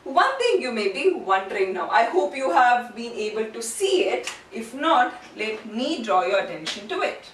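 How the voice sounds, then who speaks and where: A woman's voice: a little high, big pitch swings, normal speed, few pauses, fairly bright tone. A woman teaching a language lesson in a didactic way, posing questions and answering them herself. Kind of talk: instructional lesson, explaining and drilling sounds. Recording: roomy echo, distant mic, faint noise.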